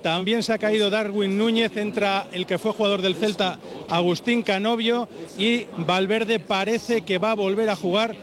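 A male radio reporter with a Spanish accent from Spain. His voice is high-pitched. He reports live outdoors from a stadium queue, with clear crowd noise around him. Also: fairly even intonation, moderate pace. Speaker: roving sports reporter. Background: crowd of fans.

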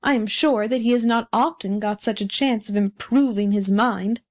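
A woman's voice: a little high, normal speed, lightly acted. A woman voicing a vain, haughty male firework rocket from a storybook, speaking in a pompous, condescending way. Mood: smug, boastful. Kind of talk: storybook character reading.

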